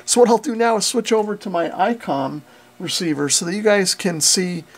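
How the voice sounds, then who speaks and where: A man's voice: high voice, normal speed. An American man in his forties giving a plain-spoken, methodical repair tutorial as a channel host in a small workshop. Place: small indoor workshop with concrete walls.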